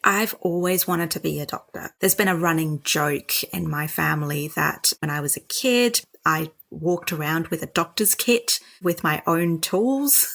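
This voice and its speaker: Young woman doctor, lowish voice with a gravelly fry, chatty and self-mocking. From Sri Lanka. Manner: lively, self-mocking, quick bursts. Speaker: doctor, guest. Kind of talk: casual storytelling interview.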